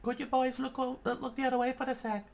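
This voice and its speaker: A man's voice: extremely high pitch, level tone, fast, creaky, voice pushed up. Sly thief girl, coy sing-song lilt, voiced by a man in a manga read-aloud. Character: sly young woman thief, teasing.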